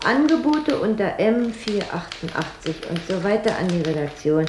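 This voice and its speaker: An actress playing a brisk, businesslike newspaper clerk who takes down a classified ad. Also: female voice, mid-range voice, big pitch swings, echoey room, background noise, close to an everyday voice.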